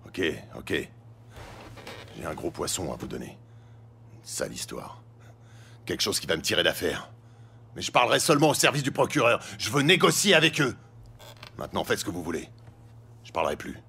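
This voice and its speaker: An actor playing a nervous, crooked scrapyard owner in his forties in a crime audio drama, talking in a halting, defensive, slangy way. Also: sits low, very animated, vocal fry, pushed and bright, slight background noise, full character voice. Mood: cornered, bargaining.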